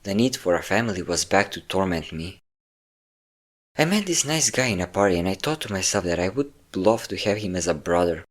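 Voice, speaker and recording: A man's voice: low voice, animated, a little fast. A man playing a possessive, obsessive vampire lover, vain and cruel, in an intimate, theatrical roleplay monologue with lingering words and sighs. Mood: smug, possessive.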